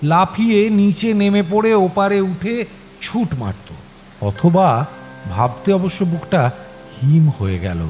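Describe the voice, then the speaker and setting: A man narrating a scripted story in a dramatic, suspenseful and expressive manner. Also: high-pitched, takes it slowly. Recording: music behind the voice. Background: clear background music.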